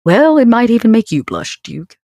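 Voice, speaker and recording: A woman voicing a scheming vampire businesswoman in a tabletop game, speaking formally and deliberately with measured pauses. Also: a little high, sing-song, mellow, no echo, clean studio sound, pitched above the performer's own voice. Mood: sly, assured.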